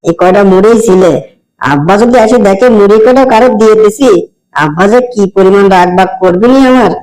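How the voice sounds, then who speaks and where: A man's voice: high voice, brisk, a little mellow, natural voice, poor audio. A man voicing a kind, devout young village son in a cartoon, earnest and colloquial, with a rural Bangladeshi accent. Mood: worried. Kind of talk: amateur cartoon voice acting.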